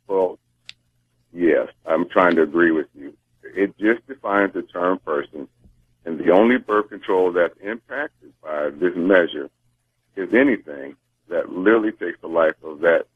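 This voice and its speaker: A man's voice: deep voice, expressive, a little slow, long pauses, slightly rough, no echo. An American man speaking as an interviewed spokesman over a telephone line, answering in a careful, insistent way. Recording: muffled, band-limited phone line.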